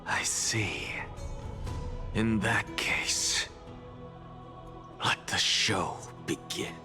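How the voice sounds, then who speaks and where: An actor playing an arrogant, theatrical professor obsessed with truth, lecturing grandly and deliberately in a video game. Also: male voice, low-pitched, music in the background. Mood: cool, bargaining. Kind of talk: dramatic video game voice acting.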